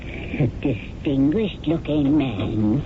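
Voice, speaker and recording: An actress playing a fussing companion who turns scornful, in a 1970s radio drama. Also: female voice, rumbling low, takes it slowly, noisy background. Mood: soothing. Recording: tinny lo-fi radio broadcast.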